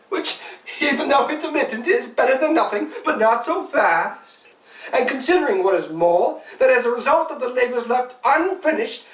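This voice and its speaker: Frantic absurdist stage servant , played by a woman: high voice, disjointed repetitive declamation of learned nonsense, distant in an echoey room. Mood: uneasy calm. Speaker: actor. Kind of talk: theatrical monologue performance.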